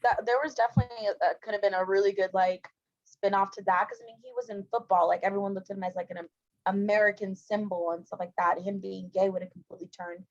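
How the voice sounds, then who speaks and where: A woman in a book club, talking in a lively, blunt way. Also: medium pitch, fast talker, muffled recording. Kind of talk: casual book discussion.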